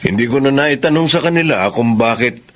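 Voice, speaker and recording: An actor playing a warm, respectful orphaned young father in a Filipino radio drama, polite, with a Filipino accent. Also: medium pitch, animated, fairly quick, lightly acted. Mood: reflective. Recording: faint background noise.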